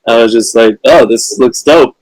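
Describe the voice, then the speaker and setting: A man's voice: medium pitch, normal speed, darkish tone, dry recording, low-quality recording. A young man from England with a mixed British, Dominican and American accent, talking reflectively as a filmmaker guest in a casual interview. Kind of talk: casual live-stream interview.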